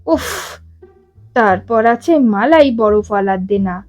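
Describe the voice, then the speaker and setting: A woman's voice: medium pitch, expressive, slow pace, darkish tone, muffled recording, voice pushed down. Woman as grumbling club boy, East Bengali accent, blunt, aggrieved, storybook character, music behind. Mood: gloomy.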